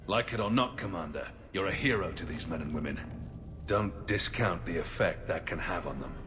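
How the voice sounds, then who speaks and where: A man playing a grave but encouraging military officer in a video game, measured and earnest. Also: low-pitched, expressive, noisy background. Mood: earnest, insistent. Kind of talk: naturalistic game dialogue. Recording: music behind the voice. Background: game music.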